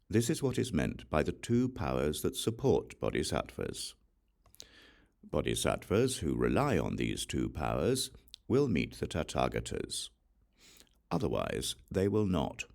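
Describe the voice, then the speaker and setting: Very deep gravelly male reader. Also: a little mellow. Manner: measured, solemn recitation. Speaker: audiobook reader. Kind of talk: scripted reading of a religious text.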